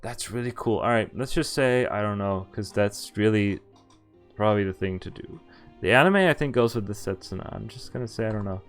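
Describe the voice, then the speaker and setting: A man's voice: lowish voice, brisk, faint music behind. A man streaming a visual novel, thinking aloud about choices and reading the story text in first person. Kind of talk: live game commentary and reading text aloud.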